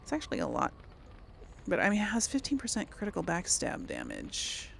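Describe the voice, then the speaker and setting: A woman's voice: on the low side, huge pitch swings, a little fast, vocal fry, slight background noise. A woman hosting a casual let's play, rambling and thinking aloud as she comments on the game. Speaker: gaming video host.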